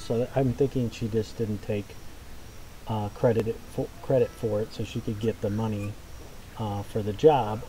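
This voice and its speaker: A man's voice: fairly low pitch, mellow, a little hiss or hum, poor audio. A man giving casual, unscripted gaming commentary in a rambling, hesitant way. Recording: faint game ambience behind.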